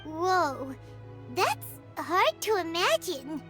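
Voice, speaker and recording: Small fairy sidekick, childlike, very high-pitched, animated swoops, excitable, video game character. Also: female voice, drawn-out words, music in the background, exaggerated voice. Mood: amazed. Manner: exclamatory, wide-eyed, refers to self in third person.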